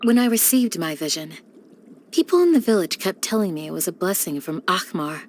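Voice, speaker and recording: A woman voicing a calm, devoted young village guardian in a video game, speaking in a measured, gentle and formal way. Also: on the low side, a little hiss or hum, lightly acted. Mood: solemn, resolute. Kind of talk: scripted game character voice lines.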